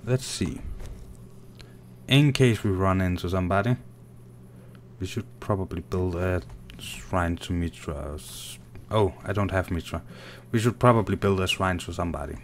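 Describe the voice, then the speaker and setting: A man from Denmark with a Danish accent, casually commenting on his gameplay while reading and answering viewer chat in a soft, unhurried way. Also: deep voice, slight background noise. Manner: soft-spoken and unhurried, muttering while playing. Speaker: gaming streamer.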